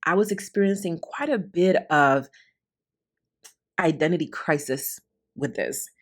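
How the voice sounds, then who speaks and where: Low-voiced earnest woman podcast host. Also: unhurried. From Honduras, raised in the Bronx, New York. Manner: earnest, heartfelt, repeats phrases for emphasis. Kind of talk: reflective solo podcast monologue.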